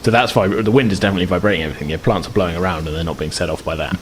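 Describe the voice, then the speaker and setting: A man's voice: deep voice, racing, a little hiss or hum. A man improvising narration as a game master, explaining things in a hesitant, rambling way.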